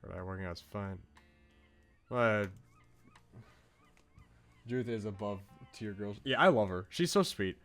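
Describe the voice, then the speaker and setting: A man's voice: fairly low pitch, unhurried, pauses often, a bit bright. A man chatting casually as he streams a game. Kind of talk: casual livestream chat while playing a visual novel. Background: game music.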